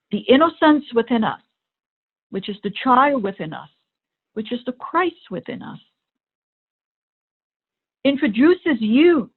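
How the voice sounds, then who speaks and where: Female spiritual coach, very measured and slow, halting short phrases, thin telephone-quality sound. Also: medium pitch, breathy edge. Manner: deliberate, halting short phrases with long pauses. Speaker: meditation coach and host. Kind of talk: spiritual talk. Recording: thin phone-line sound.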